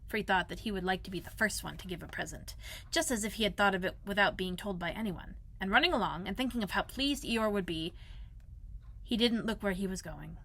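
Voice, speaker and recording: A woman who works as a school librarian, reading a children's storybook aloud with expressive narration. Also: medium pitch. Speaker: elementary school librarian, read-aloud host.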